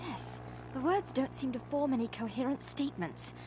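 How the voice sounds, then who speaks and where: A woman's voice: high voice, normal speed, background noise, natural voice. An actress playing an eager, refined adventurer mother. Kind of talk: animated series acting.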